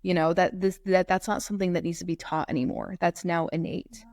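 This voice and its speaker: A woman's voice: fairly low pitch, steady tone, fairly quick, a little mellow, dry recording. A twenty-year-old woman from North Carolina, a law student guest, talking casually on a podcast, rambling with many "like" fillers over her computer mic. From North Carolina, USA. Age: 20s. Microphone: computer mic, remote call.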